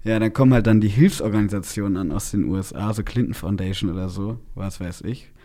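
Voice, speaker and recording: A young male podcast host with a voice a little on the low side. He talks casually and haltingly, rambling along and leaning on youth slang. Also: warm tone.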